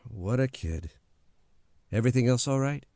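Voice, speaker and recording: An animated, mid-pitched man an actor plays a footloose suitor in an old-time radio play. He is blunt, casual and candid, with lively intonation. The sound is dry. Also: moderate pace, no echo, natural voice. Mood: breezy.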